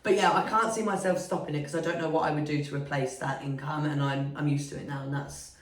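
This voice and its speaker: Englishwoman, deep husky flu voice, frank and sweary, roomy distant sound. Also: steady tone, quick pace. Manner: blunt, swears freely, self-assured. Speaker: podcast co-host. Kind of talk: frank casual chat. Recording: roomy echo, distant mic.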